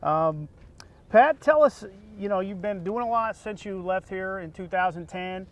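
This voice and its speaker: Higher-voiced American man hosting an interview. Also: a little slow. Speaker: interviewer, show host. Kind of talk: conversational sit-down interview.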